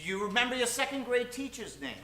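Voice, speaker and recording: A man's voice: extremely high pitch, big pitch swings, fast talker. A man hosting a live improv stage show, theatrical and mock-formal, projecting to the crowd in old-time radio style. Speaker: comedy show host. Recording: echoey theater stage, faint hiss.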